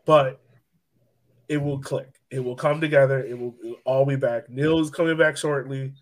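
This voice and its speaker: A man's voice: mid-range voice, steady tone, normal speed. A man co-hosting a podcast, chatting casually about sport in an emphatic way, repeating himself for effect. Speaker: podcast co-host. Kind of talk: casual sports chat and analysis.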